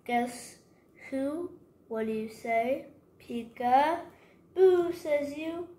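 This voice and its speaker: A female reader going through a toddler picture book aloud, haltingly, stumbling over the harder words. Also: high-pitched, warm tone. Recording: roomy echo, clear background noise.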